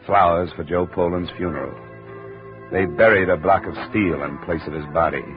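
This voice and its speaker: A man's voice: sits low, normal speed, natural voice. An actor in a 1950s radio drama playing a hard-boiled male insurance investigator who narrates in terse, clipped first person. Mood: grim. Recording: dull old radio recording with music.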